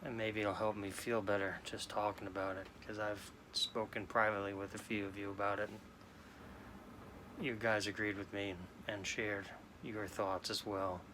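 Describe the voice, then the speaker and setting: A man in his late forties from New York, talking slowly and haltingly in a sad, subdued way as a sports card vlogger. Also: fairly low pitch, little pitch movement, noisy background. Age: 40s. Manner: halting, subdued, many restarts. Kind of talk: casual personal talk to camera.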